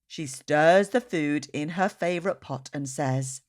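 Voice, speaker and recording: A woman's voice: low voice, measured pace, darkish tone. A woman hosting a children's read-aloud, telling a story expressively and speaking directly to young listeners. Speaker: children's story reader and host.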